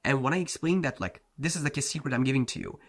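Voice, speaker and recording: A man's voice: medium pitch, fast, fairly bright tone. A man whose first language is Turkish, a self-improvement coach giving a blunt, slangy motivational talk, often saying "bro" and "like". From Turkey.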